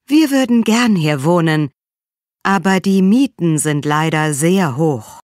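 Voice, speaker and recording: A woman voicing a mother talking about her neighbourhood, reading a scripted textbook monologue slowly. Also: fairly low pitch, lively intonation, a little mellow, dry recording, clean studio sound. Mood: plain. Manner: slow, clear delivery.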